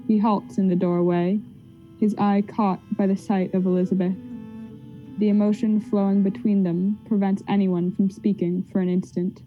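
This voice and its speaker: A woman narrating stage directions for a radio play in a measured, scripted reading. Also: mid-range voice, level tone. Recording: dull, lo-fi sound with faint music.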